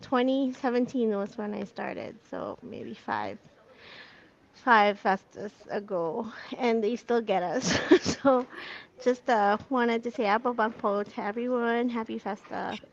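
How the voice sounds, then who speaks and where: Highish giggly woman gushing on a video call, muffled lo-fi sound. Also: a little slow, gravelly, a little hiss or hum. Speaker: guest.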